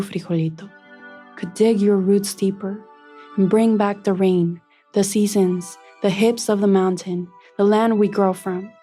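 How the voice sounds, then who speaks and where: A woman voicing a gentle, grieving corn spirit. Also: medium pitch, slightly rough. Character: grieving elder corn-plant sister spirit. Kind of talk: scripted storybook narration.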